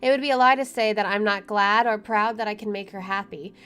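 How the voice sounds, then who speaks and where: A woman's voice: mid-range voice, fairly even intonation, brisk, pushed and bright, clear, resonant tone. Woman reading game text with wry asides. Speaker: let's play streamer. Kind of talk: reading game text aloud with casual commentary. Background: game music.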